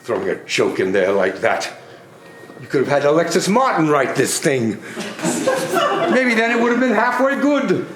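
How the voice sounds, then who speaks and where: A man playing a remorseful old miser in a theatrical reading, halting, pleading and exclamatory. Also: fairly high pitch, sing-song, background noise. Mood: indignant. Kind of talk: theatrical dramatic stage reading. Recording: roomy, echoing, music underneath.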